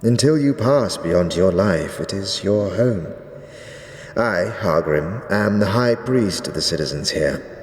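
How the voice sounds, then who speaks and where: Deep, solemn undead high priest. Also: male voice, animated. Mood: solemn, proud. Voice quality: deep, drawn-out delivery. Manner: archaic, grave, ceremonious speech. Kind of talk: fantasy audio drama acting. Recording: echoey reverberant hall, faint noise.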